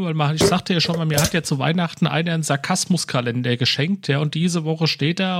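A man co-hosting a podcast in casual chat, often tacking little tag questions onto his sentences. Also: on the high side. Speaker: podcast co-host.

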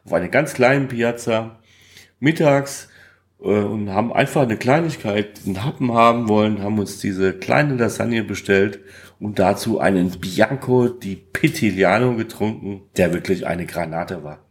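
A man co-hosting a podcast, talking casually and colloquially about food and travel, with halting pauses. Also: fairly low pitch. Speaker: podcast co-host.

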